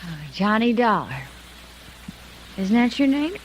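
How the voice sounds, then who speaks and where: An American actress playing a tired, sad, lovelorn gangster's wife, emotional and wounded, in 1950s radio melodrama. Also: female voice, on the high side, sing-song, measured pace. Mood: wary. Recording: noisy vintage radio recording.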